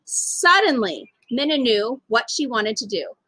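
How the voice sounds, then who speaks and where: A woman reading a children's story aloud as an expressive storytime narrator. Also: fairly high pitch, a little slow, husky, no echo. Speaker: storytime reader.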